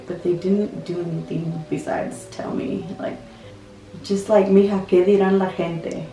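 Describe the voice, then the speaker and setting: A woman in her thirties softly and reflectively telling a personal story. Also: fairly low pitch, fairly even intonation, measured pace. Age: 30s. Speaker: interviewee. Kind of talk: personal testimony. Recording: distant, echoey with faint music.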